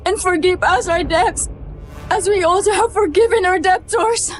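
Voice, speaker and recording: A woman's voice: extremely high pitch, unhurried, faint music behind. A woman playing a terrified but defiant young captive in a horror story, crying out and pleading tearfully.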